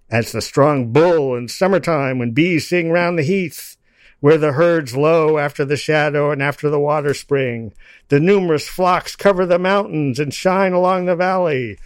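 A man speaking as a podcast guest about poetry, with a halting, digressive delivery. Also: fairly high pitch, no room sound. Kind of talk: reciting poetry, then informal explanatory discussion.

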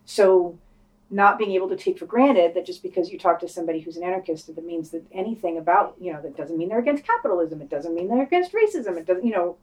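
A woman's voice: lowish voice, lively intonation, fast, a little mellow, rough recording. A woman talking informally and with opinion as a panelist in a casual podcast discussion, swearing freely.

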